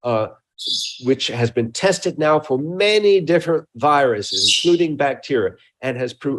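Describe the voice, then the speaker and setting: A mature man with a mid-pitched voice speaks as a scientist being interviewed. He explains things deliberately and technically, choosing precise scientific terms. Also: poor audio. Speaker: research scientist interviewed as a guest.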